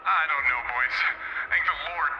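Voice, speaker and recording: A man's voice: fairly high pitch, reverberant, dull audio, heavy background noise, natural voice. A man voicing a shaken country woman caller. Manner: shaken, halting. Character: panicked rural woman caller after a night crash.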